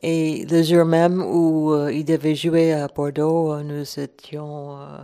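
A woman's voice: sits low, flat delivery, takes it slowly, mellow, smooth. A woman from Ireland, speaking French as an as a radio guest, hesitant and searching for words. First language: English. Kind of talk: relaxed radio chat.

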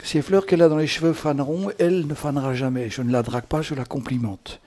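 A man's voice: fairly high pitch, steady tone, fast, warm tone. A man hosting a radio show in a chatty, improvised interview style, playful and teasing, with rambling, theatrical, flowery turns of speech. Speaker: radio host.

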